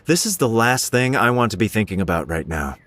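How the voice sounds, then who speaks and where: A blunt, sulky young rival. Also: male voice, fairly low pitch, very animated, brisk, fairly bright tone, dry recording. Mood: irritable. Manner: blunt, impatient. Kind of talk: game dialogue. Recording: game audio with music behind.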